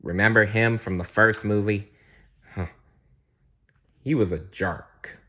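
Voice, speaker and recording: A man voicing a kind, playful young princess in a gentle, earnest storytelling manner for a bedtime storybook. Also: fairly low pitch, fairly even intonation, slow, highs cut off, heavily acted.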